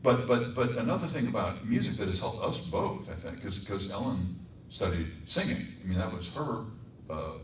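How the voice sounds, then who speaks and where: A man from Colorado with a very deep voice, a voice actor and composer telling wry stories on a stage panel. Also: animated, moderate pace. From Colorado, United States. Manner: storytelling, wry, reflective. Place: performing arts center stage. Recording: echoey hall, distant mic, faint hum.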